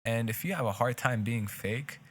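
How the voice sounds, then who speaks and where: A man's voice: mid-range voice, moderate pace. Earnest man with a Hebrew accent. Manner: earnest, rambling with frequent restarts. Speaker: podcast co-host. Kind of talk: casual podcast chat.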